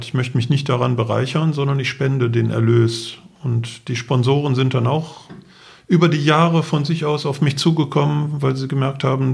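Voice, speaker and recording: A man with a mid-pitched, gravelly-edged voice talks as an interview guest. He explains things in a matter-of-fact, detailed way, in a conversational storytelling style. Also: a little mellow. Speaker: interview guest, enduro event organizer; architect for the city.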